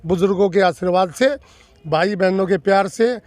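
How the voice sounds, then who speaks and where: An older man in his sixties, from the villages of North Delhi, speaks as an election candidate in an interview. His voice sits high for a man and sounds close and dry, with no room around it. He talks earnestly and emphatically, repeating himself and listing his points. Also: moderate pace, darkish tone, no echo. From North Delhi villages, India. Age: 60s. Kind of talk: interview answers.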